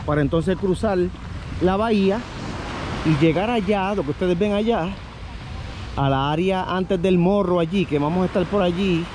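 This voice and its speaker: A man in his forties with a Puerto Rican accent, narrating his kayak trip to viewers in an excited, cheering way, outdoors on the water. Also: high-pitched, moderate pace, noisy background. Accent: Puerto Rican Spanish. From Puerto Rico. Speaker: kayaking vlogger. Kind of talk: upbeat travel vlog narration. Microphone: action camera on the kayak, sometimes held at arm's length.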